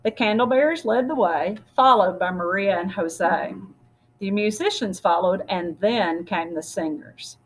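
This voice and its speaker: A woman with a husky voice reading a storybook aloud expressively, as if to children. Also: mid-range voice, unhurried, low-quality recording. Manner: expressive, acts out dialogue. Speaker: story reader.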